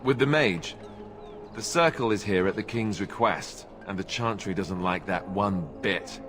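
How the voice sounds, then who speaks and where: A man as a wry young knight, low-voiced. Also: unhurried, lightly acted. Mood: friendly banter. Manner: sarcastic, quipping. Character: wry, self-deprecating young male warrior monk-turned-knight. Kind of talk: video game dialogue acting. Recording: game music behind the voice.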